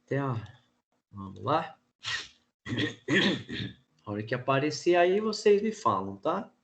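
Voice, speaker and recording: A Brazilian man with a cold-roughened, hoarse voice, teaching an online class in a casual, chatty way. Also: mid-range voice, very animated, a little slow. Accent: Brazilian Portuguese. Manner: casual, frequent filler words and restarts.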